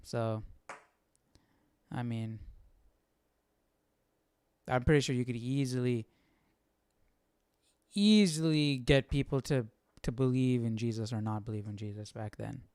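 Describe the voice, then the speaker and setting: A man's voice: medium pitch, measured pace, long pauses, darkish tone, slight background noise. A man hosting a livestream study, thinking aloud in a casual, questioning way. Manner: questioning, musing. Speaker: host of Bible study livestream.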